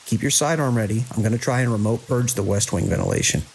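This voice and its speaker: Man acting grim, gravelly security chief. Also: mid-range voice, expressive, quick pace, a little mellow, close to an everyday voice, low-quality recording. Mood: tense, commanding. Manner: terse, urgent orders. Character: grim, commanding male security chief of research facility. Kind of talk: survival horror audio drama acting. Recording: constant hiss and rain-like noise.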